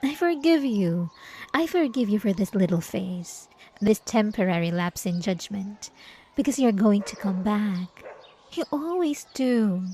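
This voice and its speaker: Woman acting as a obsessive yandere girlfriend, soft mellow tone, unhurried, cooing, condescending, sweetly menacing, ASMR roleplay. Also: medium pitch, lively intonation, a little hiss or hum. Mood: possessive, coaxing. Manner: drawn-out, cooing, condescending endearments. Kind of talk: ASMR roleplay monologue to the listener.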